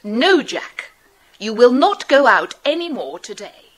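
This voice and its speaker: A woman's voice: on the high side, sing-song, measured pace, fairly bright tone, heavily acted. An actress playing a strict, indignant children's minder in an audio drama, scolding as she speaks. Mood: stern, indignant. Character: stern, indignant woman looking after children.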